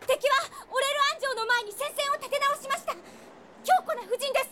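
An actress playing a gentle, polite young cleric healer in a fantasy audio drama, speaking earnestly. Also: female voice, extremely high pitch, normal speed, very noisy. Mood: alarmed reporting.

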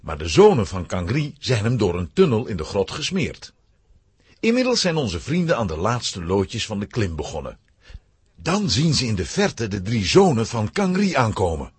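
A man with a husky voice narrating a children's audio drama as dramatic scripted storytelling. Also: on the low side, wildly swooping pitch, moderate pace, dry recording.

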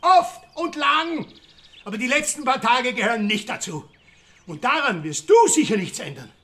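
An actor in a radio drama playing an embittered, vengeful middle-aged Austrian country murderer, full of scorn. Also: male voice, very high-pitched, expressive, normal speed, bright, forceful tone, full character voice. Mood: manic, vengeful.

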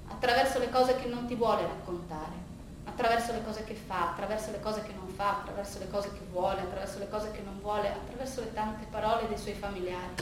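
A woman playing a weary, disillusioned older psychiatrist in a theatrical monologue, speaking in a measured, deliberate way with rhetorical repetition. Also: on the high side, lightly acted. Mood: grave, advising. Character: veteran psychiatrist of thirty-five years, weary, disillusioned, reflective. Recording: roomy, distant stage recording, faint noise.

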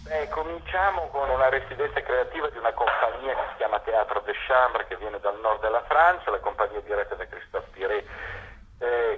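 A man interviewed on radio as a theatre director, speaking deliberately and building his thoughts in lists. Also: mid-range voice, a bit bright, husky, lo-fi sound.

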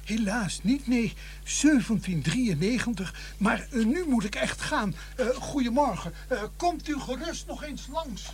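An actor playing a fussy, scatterbrained village vicar in a Dutch radio play, hurried and dithering, often repeating himself. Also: male voice, very high-pitched, animated, a little hiss or hum, exaggerated voice. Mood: flustered, firm.

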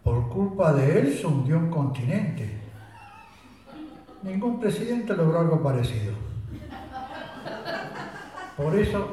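A man's voice: on the high side, slow pace, pauses often, slight background noise. A man playing a boastful rustic sergeant. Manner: deadpan, rambling mock-solemn storytelling. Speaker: radio drama actor. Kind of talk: comic radio play acting. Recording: very echoey room, voice far from mic.

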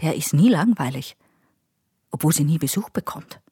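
Radio play role: gossipy, doting Austrian nurse, lowish husky voice, monologue delivery. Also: female voice, animated, normal speed, dark tone, no room sound, studio quality, full character voice. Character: chatty care-home nurse showing off her elderly residents.

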